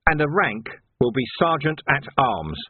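Shrewd, assertive policeman played by a male reader, rough-edged husky voice, lively pitch, audiobook. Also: fairly quick, dry recording, phone-quality sound. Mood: defiant. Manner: blunt, assertive. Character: gruff, defiant, shrewd policeman taking command. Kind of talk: audiobook character acting.

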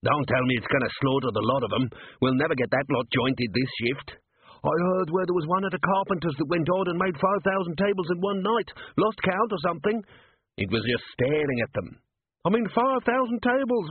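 Man doing a grumbling, gossipy workman, pitched up. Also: expressive, fairly quick, breathy edge, narrow, tinny audio. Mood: incredulous. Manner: colloquial, incredulous. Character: gossiping, grumbling male slaughterhouse worker. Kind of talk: audiobook character voice. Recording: band-limited, radio-like sound.

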